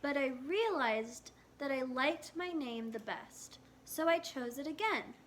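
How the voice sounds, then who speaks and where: A woman's voice: high-pitched, expressive, a little slow, slight background noise. A woman voicing a polite, earnest young schoolgirl in a storybook read-aloud. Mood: earnest, resolved.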